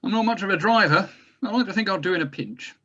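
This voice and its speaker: A man's voice: high voice, fast, bright, forceful tone, slightly rough, muffled recording, exaggerated voice. A man playing a mild, learned doctor who speaks formally and hesitantly in a drama table read. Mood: sheepish, flustered. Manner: hesitant, stammering, wordy and formal. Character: mild, fussy, learned male doctor at the wheel. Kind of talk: table read of a horror screenplay.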